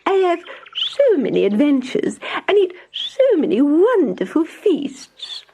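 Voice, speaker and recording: A woman voicing a boastful, excitable female town mouse for a storybook, squeaky and showy, with condescending, drawn-out emphasis. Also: full character voice.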